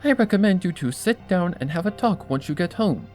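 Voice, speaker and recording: Storybook lady pony dressmaker, played by a woman: high-pitched, very animated, refined, gushing and theatrical. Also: fairly quick, soft background music, lightly acted. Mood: gushing reassurance. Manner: theatrical, genteel, affectionate.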